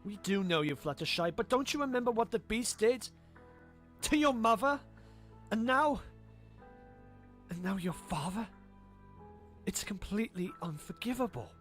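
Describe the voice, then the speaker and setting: A woman's voice: mid-range voice, animated, measured pace, long pauses, soft background music, lightly acted. A woman voicing a stern, scheming cartoon pony in authority, controlled and insistent.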